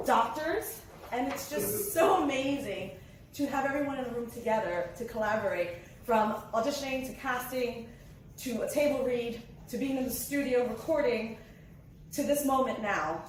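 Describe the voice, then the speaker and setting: A woman's voice: on the high side, normal speed. Woman speaking in a very echoey room. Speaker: event co-host. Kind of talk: impromptu speech at a launch party. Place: hotel event room. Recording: echoey noisy room, distant mic.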